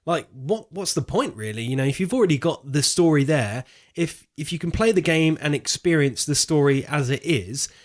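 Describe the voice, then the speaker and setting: A man's voice: fairly high pitch, expressive, normal speed, hardly any pauses. A man co-hosting a film podcast, talking in an informal, slangy, conversational way. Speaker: podcast co-host. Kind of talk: casual film podcast chat.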